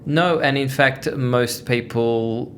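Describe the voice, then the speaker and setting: A man's voice: mid-range voice, slow, fairly bright tone, a little hiss or hum. A middle-aged man with an Australian accent, telling his story as a podcast guest in a chatty, self-deprecating way with frequent restarts. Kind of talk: casual interview storytelling.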